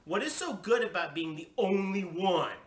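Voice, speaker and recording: A woman's voice: mid-range voice, moderate pace, sharp tone, echoey room, voice pushed up, natural voice. A woman voicing a stubborn, indignant little girl from a storybook, pouting and snappy with childish grammar. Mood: whiny, indignant.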